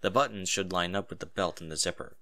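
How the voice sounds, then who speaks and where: A man voicing a low, serious captain. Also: fast talker, pushed and bright, dry recording. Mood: quiet, serious. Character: gruff, fatherly male military captain, serious but kindly.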